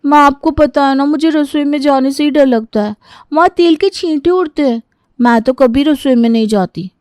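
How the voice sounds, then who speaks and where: A woman's voice: sits high, normal speed, a little mellow, dry recording, studio quality, lightly acted. A woman voicing a timid, evasive young bride in a cartoon story. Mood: whiny, dismissive.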